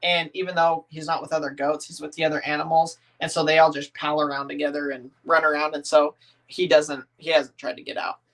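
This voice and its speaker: A man in his thirties with a slightly raised pitch chats loosely with his viewers, reading their comments aloud and answering them one by one. He rambles, restarts sentences and leans on lots of "um"s, keeping everything relaxed and informal. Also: brisk. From northern United States. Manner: rambling, easygoing, many "um"s and restarts. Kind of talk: casual livestream chat.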